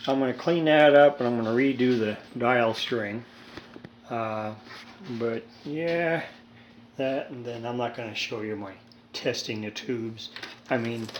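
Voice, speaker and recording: A man in his seventies giving a casual, rambling, folksy hands-on repair demonstration with dry humor. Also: medium pitch. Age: 70s. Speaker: hobbyist radio restorer. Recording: steady background noise, homemade video.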